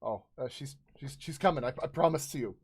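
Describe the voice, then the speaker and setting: A man's voice: medium pitch, lively intonation, fairly quick, breathy edge. A man in his thirties chatting casually as a livestream host, hesitant and apologetic in manner. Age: 30s.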